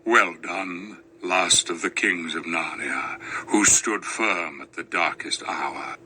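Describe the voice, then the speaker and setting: A majestic storybook lion, as a male reader puts him on, pitched well down low. He speaks with solemn, measured gravity and a gentle, kingly authority. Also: a little hiss or hum, heavily acted. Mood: solemn, approving. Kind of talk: dramatized storybook reading.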